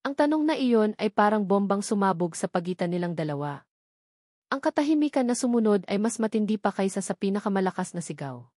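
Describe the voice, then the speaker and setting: A woman's voice: mid-range voice, darkish tone, no echo, studio quality. A woman narrating a scripted romance story in a dramatic storytelling style.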